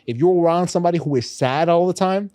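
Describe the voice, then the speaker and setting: A man's voice: fairly high pitch, quick pace, warm tone, clean tone, no echo. Man, 30s, New York accent, podcast co-host, emphatic and lecturing, dry close sound. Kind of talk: casual chat. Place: podcast studio.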